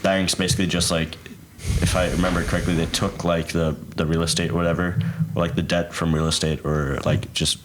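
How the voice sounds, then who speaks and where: A young man with a low voice chats casually as a podcast panelist. He talks rapidly, repeating words in stammered bursts, and there is faint noise in the recording. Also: level tone. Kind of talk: casual chat.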